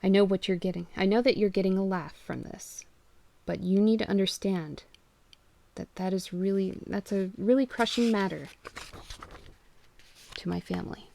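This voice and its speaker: A woman's voice: medium pitch, moderate pace, creaky, pauses often, dark tone, lightly acted. A woman voicing a frightened husband and father who urgently pleads down a phone line. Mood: strained.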